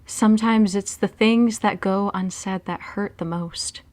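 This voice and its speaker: A woman's voice: mid-range voice, steady tone, measured pace, darkish tone, close to an everyday voice. A woman playing a sincere, vulnerable young girlfriend in an audio drama. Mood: hurt. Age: young adult.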